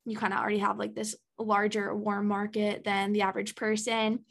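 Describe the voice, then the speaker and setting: A woman's voice: medium pitch, monotone, brisk, vocal fry, a bit bright. A woman in her early twenties, a podcast guest talking in a chatty, casual way with many ums and likes. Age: early 20s.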